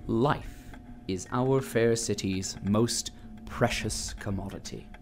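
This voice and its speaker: A man's voice: fairly low pitch, slow, slight background noise. A man playing a grandiose, solemn narrator, delivering scripted dramatic narration for an audio drama. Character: grandiose, solemn propaganda-style male narrator.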